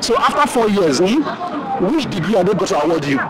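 High-voiced Nigerian street interviewer. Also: male voice, very animated, poor audio. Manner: brisk, repeats stock questions. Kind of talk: vox pop quiz. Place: outdoor university campus lawn. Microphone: handheld microphone. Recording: crowd noise outdoors.

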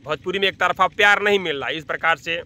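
A man's voice: sits high, quick pace, sharp tone. A man, giving emphatic casual commentary to camera, repeating his phrases, outdoors into a phone at arm's length. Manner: emphatic, repeats phrases, addresses listeners as brother. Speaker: vlogger. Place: open-sided thatched hut by farm fields, outdoors.

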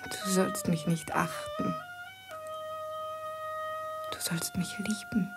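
Eine Schauspielerin spielt in einem Hörspiel der 1950er eine verliebte, fordernde Edelfrau, intim und oft flüsternd. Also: female voice, medium pitch, wildly swooping pitch, slow pace, exaggerated voice. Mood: seductive, demanding. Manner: breathy, intimate, imperious, often whispering. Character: amorous, commanding noblewoman, a master's wife. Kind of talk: 1950s German radio play acting. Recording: 1950s mono radio drama with music.

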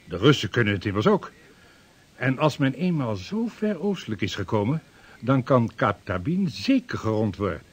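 Ambitious seasoned explorer, husky mid-pitched voice, respectful and persuasive, formal radio drama delivery. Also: male voice, very animated, moderate pace. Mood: earnest, persuasive. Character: earnest, ambitious veteran seafarer and explorer. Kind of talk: Dutch radio drama acting.

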